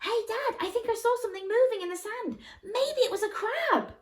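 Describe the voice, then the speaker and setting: Woman voicing an excitable high-pitched little boy. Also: normal speed, recorded from a distance. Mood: excited. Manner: eager, bouncy, exclaiming. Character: excitable, hungry young boy in a storybook.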